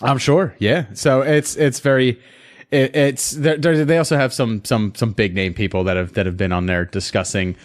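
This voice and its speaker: A man co-hosting a podcast, chatting casually in a halting way and repeating words. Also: mid-range voice. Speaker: podcast co-host.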